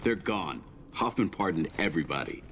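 A man playing a steady, no-nonsense soldier and loyal comrade in a video game. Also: sits low, normal speed, slight background noise. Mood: firm, reassuring. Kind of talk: video game cutscene acting. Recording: echoey, reverberant hall.

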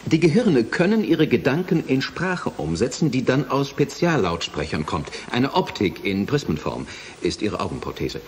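A man in a German radio play acting a university president who explains things in a measured, deliberate way. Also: medium pitch, sing-song, slight background noise, lightly acted. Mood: explanatory. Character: measured, authoritative university president defending his project.

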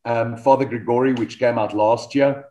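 A man's voice: mid-range voice, moderate pace, dull audio. A man in his thirties with a South African accent, an Afrikaans speaker, chatting relaxedly about his band over a video call. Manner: relaxed storytelling, frequent ums and restarts. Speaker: metal band vocalist, guest. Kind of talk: casual video-call interview. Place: home studio with band posters. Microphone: desk microphone on video call.

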